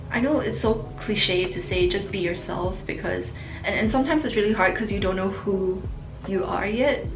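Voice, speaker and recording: Chatty young woman with a creaky voice. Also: mid-range voice, reverberant, low-quality recording. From Singapore. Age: young adult. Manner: chatty, reflective, laughs between answers. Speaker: vlogger. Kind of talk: casual chat answering viewer questions.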